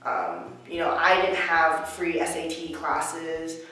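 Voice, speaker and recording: A woman's voice: low voice, little pitch movement, takes it slowly, a bit bright. A young woman from the United States answering interview questions about her life as a college student, reflective and earnest, with plenty of filler words. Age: young adult. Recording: echoey room, mic at a distance.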